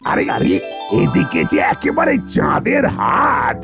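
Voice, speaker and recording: An excitable comic police officer in a cartoon. His voice swoops wildly and cracks into gravel, blustery and bossy, with music underneath. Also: a little high, measured pace, heavily acted. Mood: bossy, excited.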